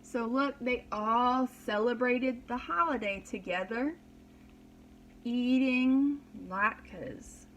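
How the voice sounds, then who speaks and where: A woman's voice: a little high, takes it slowly, a little hiss or hum, poor audio. A woman reading a children's story aloud as a preschool teacher, dramatically and expressively, with simple explanations for young listeners.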